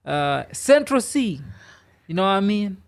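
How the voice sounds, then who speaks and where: A man with a gravelly, rough-edged voice sits in as a podcast guest in a studio. His pitch is a bit high for a man, and he rambles in loose, casual chat full of "you know" and "I mean.". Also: very animated, a little slow. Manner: rambling, filler-heavy, many "you know"s.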